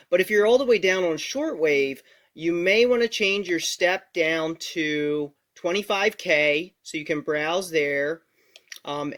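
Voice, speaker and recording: A man's voice: on the high side, fairly bright tone. A man presenting a casual, step-by-step tech tutorial, explaining things directly to the viewer.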